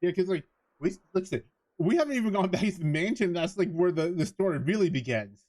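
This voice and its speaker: A man's voice: high voice, animated, fairly quick, a little mellow. A man talking casually to viewers as a livestreamer during a gaming stream.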